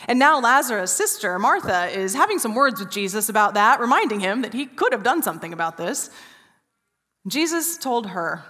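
A woman in her forties preaching an Easter sermon from a church stage, telling a story with hushed, drawn-out pauses. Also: a little high, very animated. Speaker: pastor. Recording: reverberant church hall.

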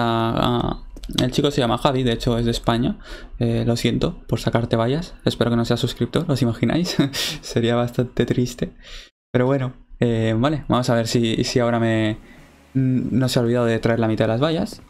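A man's voice: mid-range voice, fairly even intonation, a little fast, darkish tone. A young man with a Spain Spanish accent, chatting casually to viewers over gameplay, full of fillers. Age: young adult. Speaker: gaming video creator.

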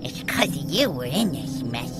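An actor voicing a small, childish, tired alien follower who whines, in a video game cutscene. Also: male voice, medium pitch, sing-song, moderate pace, lightly acted. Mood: grumbling. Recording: game audio with loud music behind.

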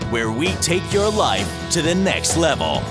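Booming announcer over loud guitar music. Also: very animated, a little slow. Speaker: show announcer.

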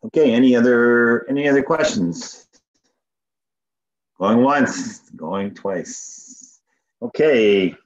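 A man's voice: mid-range voice, takes it slowly, pauses often. A man chairs a council meeting from home over a video call, moving through procedure item by item. His voice sits mid-range. The call audio sounds slightly muffled. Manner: procedural, repeats "going once, going twice".

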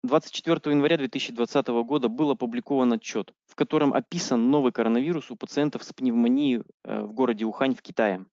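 A male interpreter relays a medical lecture sentence by sentence, halting on fillers and fixing slips as he goes. His mid-pitched voice comes through dry and close, with a dull lo-fi call sound. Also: darkish tone, dry recording. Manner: halting, with frequent fillers and self-corrections. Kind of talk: consecutive interpreting.

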